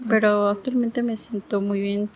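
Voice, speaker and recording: A woman's voice: medium pitch, little pitch movement, a little slow. A young woman from Ecuador, a university student, answering interview questions plainly and proudly, with hesitant "este" fillers. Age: young adult.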